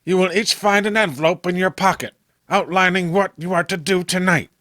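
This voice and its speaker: Man putting on a sinister, formal occult villain, slightly high. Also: steady tone, pushed and bright, no room sound, clean studio sound. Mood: impatient, commanding. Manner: formal, measured. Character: sinister scheming occult master, smoothly menacing villain. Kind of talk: horror comic read-along acting.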